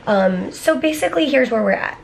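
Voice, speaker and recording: A woman's voice: high-pitched, expressive, fairly quick, gravelly. A woman in her thirties talking casually to a vlog audience, telling things in a chatty way with asides. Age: 30s. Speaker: vlogger, cystic fibrosis patient. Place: moving car and hospital clinic room. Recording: background noise, car and room ambience.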